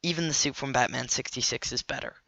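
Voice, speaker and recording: A man with a cold and a nasal voice, hosting a scripted comic commentary video with deadpan, sarcastic asides. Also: mid-range voice, quick pace, creaky, no echo, lo-fi sound. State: sick with a cold. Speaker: video host.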